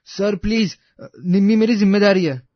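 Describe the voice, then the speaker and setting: A man's voice: high-pitched, steady tone, moderate pace, dry recording, muffled recording, lightly acted. A man playing an earnest, devoted young man in love in a story. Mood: urgent, excited.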